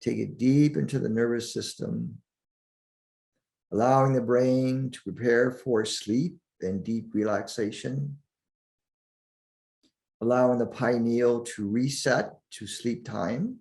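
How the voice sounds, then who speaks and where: Slow, gravelly male meditation guide. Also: mid-range voice, a little mellow. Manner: soothing, deliberate, with long pauses between short phrases.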